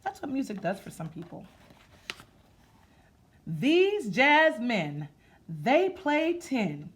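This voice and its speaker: A woman hosting a children's storytime, reading aloud in an expressive, sing-song way and speaking to her listeners as friends. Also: a little high, slow. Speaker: storytime host reading a picture book aloud. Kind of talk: children's read-aloud with rhythmic sound effects and chatty asides.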